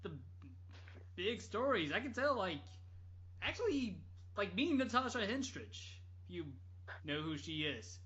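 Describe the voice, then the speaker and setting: A man telling anecdotes casually on a podcast, in an animated, rambling, jokey way. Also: sits high, unhurried. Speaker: podcast storyteller. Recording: dull lo-fi podcast audio.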